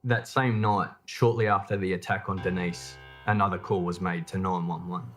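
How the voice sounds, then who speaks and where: A man reading scripted true crime documentary narration in a measured, matter-of-fact way. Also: sits low, steady tone, fairly bright tone, faint music behind.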